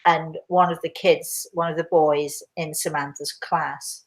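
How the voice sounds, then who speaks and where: Croaky-voiced woman host rambling on, lowish voice, lots of restarts. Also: flat delivery, unhurried. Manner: chatty, rambling, many restarts. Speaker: host answering viewer questions. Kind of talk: casual live chat.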